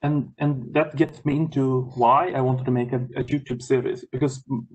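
A man's voice: medium pitch, steady tone, husky, rough recording. A man from Sweden with a Swedish accent, speaking English as his second language, talking as a guest on a video call and often restarting his words. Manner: halting, many restarts and repeated words. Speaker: guest lecturer.

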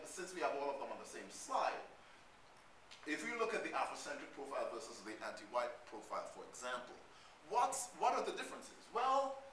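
Middle-aged Trinidadian male professor, bright voice, lecture recorded from a distance, faint room noise. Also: on the high side. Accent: Trinidadian, somewhat Americanized. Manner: thoughtful, with frequent ums and restarts. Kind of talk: academic lecture. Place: lecture hall, at a podium. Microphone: podium mic, recorded from a far camera. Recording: echoey hall, far from the mic.

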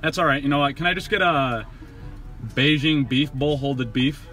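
A young man chatting casually in a slangy, profane, deadpan way as he pulls pranks from inside a car. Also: on the high side, normal speed, faint music behind. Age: young adult. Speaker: prankster ordering at drive-thrus. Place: inside a car at drive-thrus. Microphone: handheld camera from passenger seat.

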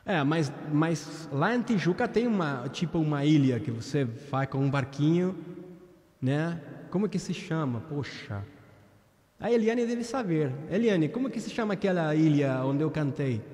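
A man's voice: a little high, big pitch swings, normal speed, darkish tone. A man in his thirties with an Argentine accent, chatting casually with viewers during a live-stream singing session and groping for words as he switches languages. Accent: Argentine Spanish. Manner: chatty, switching between Spanish and Portuguese, searching for words. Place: small indoor room with a virtual beach backdrop. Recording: long reverb on the voice.

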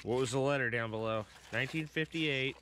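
A man's voice: medium pitch, brisk, a bit bright, slight background noise. A man hosting a casual family coin hunt outdoors, keeping score and explaining finds in an encouraging way. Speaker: coin hobby host. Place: outdoors at a campsite table.

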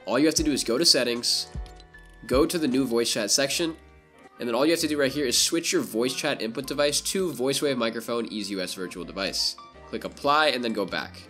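A young man narrates a scripted software tutorial at a brisk clip. His pitch is average for a man, and soft music plays quietly behind him. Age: young adult. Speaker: YouTuber.

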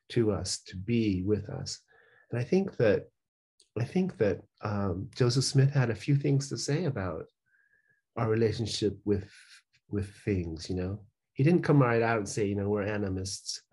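A man's voice: fairly low pitch, mellow, husky. A man in his sixties, a professor and author, talking thoughtfully on a video call from a home study, rambling with many restarts. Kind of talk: casual reflective conversation.

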